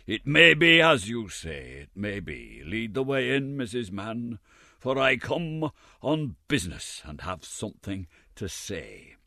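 A man's voice: fairly low pitch, very animated, a little slow, pushed and bright, dry recording, studio quality, pitched above the performer's own voice, full character voice. A man voicing a pompous, choleric, fat parish beadle with a self-important, lecturing manner. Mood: condescending.

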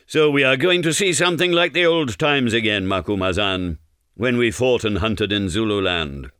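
A man's voice: on the low side, lively intonation, moderate pace, fairly bright tone, clean tone, no echo, clean studio sound. A man voicing an aging, bloodthirsty warrior who is proud and boastful and speaks in grand, archaic declamation for a storybook.

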